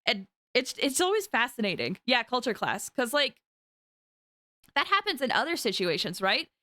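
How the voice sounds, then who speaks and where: A woman's voice: a little high, very animated, normal speed, bright, forceful tone, no room sound. A woman chatting casually and animatedly on a game livestream, rambling as she talks to viewers.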